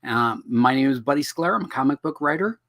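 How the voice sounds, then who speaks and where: Brief: middle-aged male host, slightly hoarse, jokey and self-deprecating, webcam sound. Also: mid-range voice, animated, fairly quick, fairly bright tone. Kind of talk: casual livestream teaching. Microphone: video call webcam.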